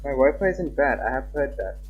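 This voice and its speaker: A man's voice: medium pitch, big pitch swings, fairly quick, husky. A young man live-streaming a game, chatting casually and reading on-screen text aloud. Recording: dull lo-fi mic with background music. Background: game music.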